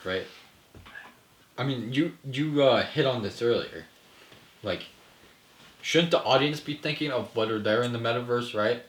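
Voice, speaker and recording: A man with a mid-pitched voice talks casually, as a filmmaker laying out his ideas in an explanatory way. He often tags points with a questioning "right?", and the room around him is noticeably echoey. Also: fairly bright tone. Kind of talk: casual post-table-read discussion.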